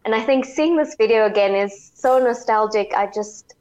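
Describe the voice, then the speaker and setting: A woman's voice: on the high side, moderate pace, darkish tone. Young South African woman on dull call audio. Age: early 20s. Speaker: guest.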